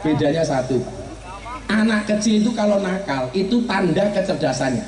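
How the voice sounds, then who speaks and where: A man's voice: sits high, expressive, moderate pace. A middle-aged man, giving a humorous sermon and teasing his audience. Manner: joking, teasing the audience, mixing regional dialect. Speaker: preacher. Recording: roomy, from far off, crowd and noise behind.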